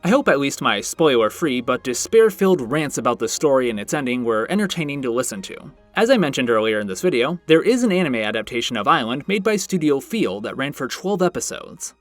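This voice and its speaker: A man's voice: mid-range voice, big pitch swings, fairly quick, hardly any pauses, no echo. A man hosting a review channel, narrating a scripted video in a conversational way with wry asides. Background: background music.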